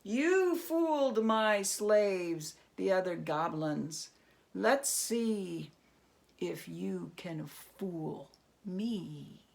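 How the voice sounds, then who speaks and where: A woman storyteller reading a storybook aloud expressively, with dramatic, suspenseful pauses. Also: fairly low pitch, creaky, darkish tone.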